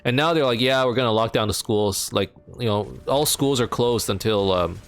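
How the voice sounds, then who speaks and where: A man's voice: moderate pace, soft background music. Rambling young man streaming with chat. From Canada. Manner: rambling, filler-heavy, pitch jumps up when animated. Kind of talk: casual chat with live chat while gaming. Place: home.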